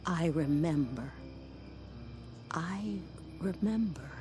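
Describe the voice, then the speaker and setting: Very slow, frail old lady. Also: low-pitched, animated, dark tone. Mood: wistful. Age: older. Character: frail, wistful old woman. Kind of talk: radio drama acting. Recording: music bed under the voice.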